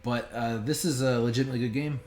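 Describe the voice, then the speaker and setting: A man's voice: medium pitch, fairly even intonation, quick pace, darkish tone. A man giving casual, joking and sometimes profane commentary as a game streamer. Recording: roomy echo with faint background noise.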